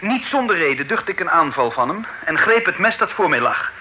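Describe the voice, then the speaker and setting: A desperate accused gentleman. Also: a little high, sing-song, brisk. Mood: earnest. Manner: pleading, indignant outbursts. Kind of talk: old radio play acting. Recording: hissy old radio recording.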